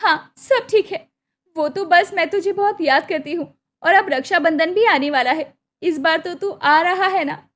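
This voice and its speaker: A woman's voice: very high-pitched, a little slow, a bit bright. A woman voicing a hopeful, devoted young village sister in a cartoon story, earnest and pleading. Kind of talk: Hindi cartoon moral story acting.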